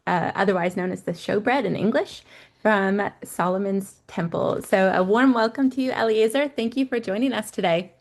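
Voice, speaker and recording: A woman's voice: medium pitch, moderate pace. Woman host giving a formal introduction. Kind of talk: introducing a guest. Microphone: video call.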